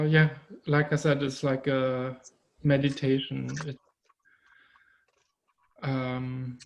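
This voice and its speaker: A man whose first language is German, an artist speaking thoughtfully in an interview, pausing often with fillers. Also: medium pitch, vocal fry, a little mellow. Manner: thoughtful, hesitant with many fillers. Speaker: artist guest. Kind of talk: conversational interview.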